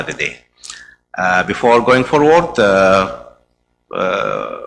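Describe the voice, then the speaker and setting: Brief: Arabic-speaking man chairing a meeting, hesitant, lower voice, faint noise behind. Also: bright, forceful tone. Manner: hesitant, many "uh" fillers and restarts. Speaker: meeting chair presenting the agenda. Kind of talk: conference presentation. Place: conference hall.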